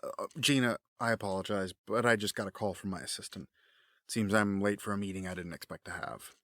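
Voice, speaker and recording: Guy playing a middle aged smooth schemer type, kinda fatherly and polite, lowish voice, dry close audio drama sound. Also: steady tone, a bit bright, dry recording. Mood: smoothly apologetic. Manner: genteel, measured, formal phrasing.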